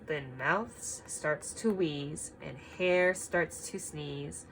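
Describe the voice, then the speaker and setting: A woman reading a picture book aloud to children, expressive and emphatic, pausing to ask simple guiding questions. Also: sits low, a little hiss or hum, poor audio.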